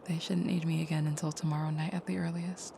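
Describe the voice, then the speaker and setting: Actress as tender vampire girlfriend for comfort roleplay audio: lowish, creaky, hushed and hesitant. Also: flat delivery, fast, background noise. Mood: tender, apologetic. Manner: soft, hushed, hesitant, often dropping to a near whisper. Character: gentle, caring vampire girlfriend, soft-spoken and hesitant.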